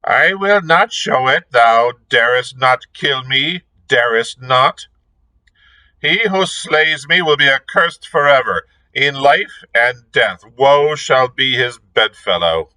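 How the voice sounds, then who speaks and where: Ancient witch woman, shrill falsetto cackle, cruel and defiant, storybook reading, a man puts her on; slow and swooping. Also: animated, pushed and bright, no room sound, full character voice, rough recording.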